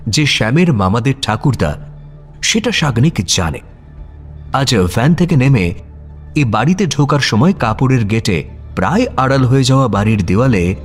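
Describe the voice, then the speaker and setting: A man narrating a scripted story in a dramatic storytelling style. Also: fairly low pitch. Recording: music bed under voice. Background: background music.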